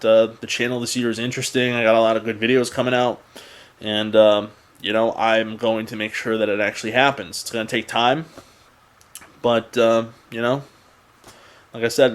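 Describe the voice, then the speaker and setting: A young adult man hosting a car channel, talking in a casual, rambling, unscripted vlog style to his viewers. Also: lowish voice.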